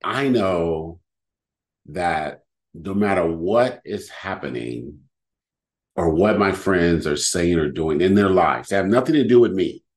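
A middle-aged man with a very deep voice, chatting casually as a podcast host at home, rambling with lots of fillers. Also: takes it slowly. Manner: rambling, lively, full of fillers. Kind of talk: casual chat. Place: home living room.

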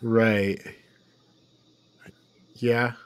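A man's voice: medium pitch, little pitch movement, extremely slow, clean tone. A man co-hosting a podcast, talking casually about a film. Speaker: podcast co-host.

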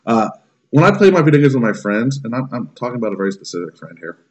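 A man's voice: medium pitch, big pitch swings, fast talker, rough recording. A man in his thirties ranting casually as a podcast co-host, blunt, profane and irritable. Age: 30s. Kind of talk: casual opinionated rant.

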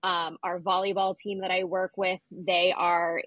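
A woman's voice: on the low side, little pitch movement, measured pace, no echo, phone-quality sound. A young American woman co-hosting a podcast in casual chat with a wry, self-deprecating manner. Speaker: podcast co-host.

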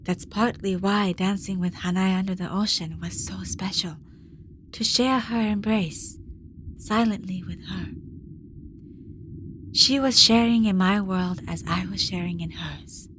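An actress playing a wistful woman diver who recounts haunting memories in a measured, hushed, intimate audio drama monologue. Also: medium pitch, slight background noise, close to an everyday voice. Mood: tender, wistful. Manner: measured, hushed, reflective storytelling.

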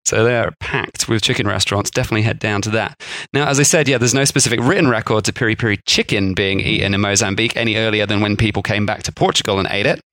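A man co-hosting a casual food history podcast, chatty and quick to laugh at himself. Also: lowish voice, fairly bright tone, no room sound. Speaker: podcast co-host.

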